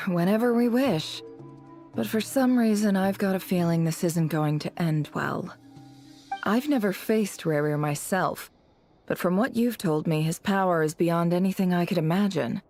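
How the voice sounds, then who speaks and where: A shrewd, philosophical boss in a game, in a slightly low voice. Her delivery is measured, formal and wry, with soft music underneath. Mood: composed, uneasy. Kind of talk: video game voice acting.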